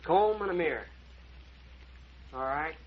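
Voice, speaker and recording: A man's voice: on the high side, animated, a little slow, a crowd in the background. An actor playing an earnest, devoted young man in old-time radio drama, boyish and attentive. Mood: eager. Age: young adult. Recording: dull, band-limited old radio recording.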